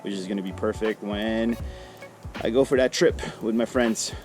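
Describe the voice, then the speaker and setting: A man's voice: lowish voice, normal speed, darkish tone, faint music behind. A man hosting a vlog in casual, laid-back, slangy chat with an upbeat manner. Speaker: vlog host.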